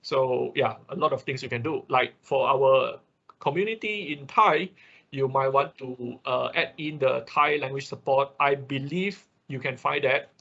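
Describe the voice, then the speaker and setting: A man giving an informal technical lesson online, explaining haltingly, repeating himself and tagging phrases with quick check-ins. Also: medium pitch, creaky, a bit bright. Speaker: workshop instructor. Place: online class over a computer. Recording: dull lo-fi online call audio.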